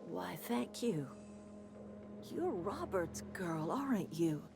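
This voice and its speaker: A woman's voice: on the low side, sing-song, slow, gravelly, background noise, heavily acted. An actress playing a proud, motherly elderly woman who leads a camp in a video game, plain-spoken and folksy. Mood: kindly, welcoming. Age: older. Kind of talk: video game character dialogue. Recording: music behind the voice.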